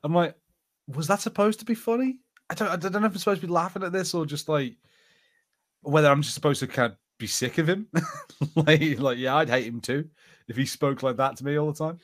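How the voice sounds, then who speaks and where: A man's voice: a little high, fairly quick. A man co-hosting a podcast in casual banter, with an easy, agreeable manner. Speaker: podcast co-host.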